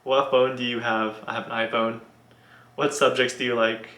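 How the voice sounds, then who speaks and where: A young man answering viewer questions in a casual video, relaxed and halting with many ums and restarts. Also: mid-range voice, reverberant. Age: young adult. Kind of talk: casual Q&A vlog.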